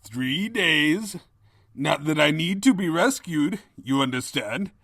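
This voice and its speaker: Scheming cartoonish pony tyrant, highish voice, swooping melodrama, slow grandiose delivery, boastful, comic audio drama. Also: male voice, animated, vocal fry, fairly bright tone, no echo, clean studio sound, exaggerated voice. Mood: haughty. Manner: grandiose, theatrical, drawn-out delivery.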